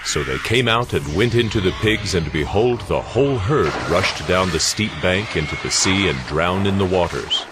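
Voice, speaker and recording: A male narrator reads a Bible story from a script. His voice is very deep, and music plays clearly behind him. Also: lively intonation, normal speed, few pauses.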